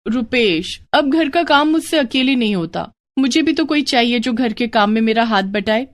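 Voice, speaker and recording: Woman voicing a caring, coaxing young housewife, very expressive. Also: fairly high pitch, moderate pace, natural voice. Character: caring, persistent young married sister-in-law, pleading housewife. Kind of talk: Hindi moral story character voice.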